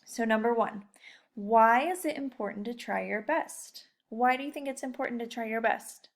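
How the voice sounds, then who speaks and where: A woman's voice: a little high, moderate pace. Encouraging young woman teaching children. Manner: encouraging, addresses listeners directly with questions. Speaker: teacher leading a read-aloud lesson. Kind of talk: casual, chatty explanation to children.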